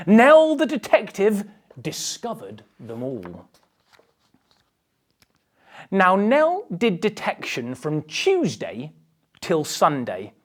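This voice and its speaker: A woman reading a children's picture book aloud with theatrical, dramatic emphasis. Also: medium pitch. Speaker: storybook reader.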